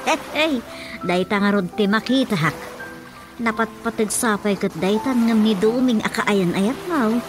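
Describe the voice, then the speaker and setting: A woman's voice: medium pitch, measured pace, close to an everyday voice. A woman with an Ilocano accent playing a middle-aged mother in a radio drama, nagging and teasing. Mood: scolding. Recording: radio drama with music underneath.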